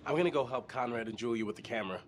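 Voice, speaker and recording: A man's voice: medium pitch, rapid-fire, slight background noise, natural voice. Man playing a casual, confident young diver. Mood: assured. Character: easygoing, confident young man on a diving trip. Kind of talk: video game cutscene acting.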